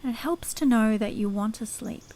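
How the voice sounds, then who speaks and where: A woman leading guided sleep hypnosis in a soothing, unhurried, measured manner. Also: a little high, dark tone, slight background noise.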